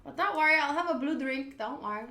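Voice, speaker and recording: Bright high-voiced playful woman streamer. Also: fast, echoey room, soft background music. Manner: playful, animated, jokey. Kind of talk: casual livestream reaction.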